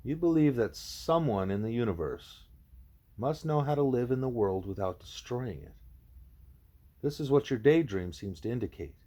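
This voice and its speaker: A man voicing a calm, wise male gorilla teacher from a storybook, speaking in measured, formal, patient phrases. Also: medium pitch, lightly acted. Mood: patient, didactic.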